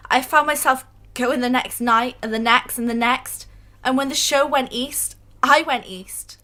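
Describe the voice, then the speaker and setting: A woman's voice: high voice, steady tone, a little slow, sharp tone. A woman acting in a radio drama as a shy, humble young female fan who speaks hesitantly. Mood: wistful, earnest. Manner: hesitant, stammering.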